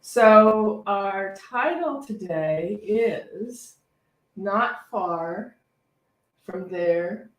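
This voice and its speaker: A woman leads a service with casual announcements in a mid-pitched voice. She is informal and self-deprecating. The sound is echoey and somewhat far from the microphone. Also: lively intonation, drawn-out words. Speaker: reverend hosting a service. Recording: echoey room, distant mic.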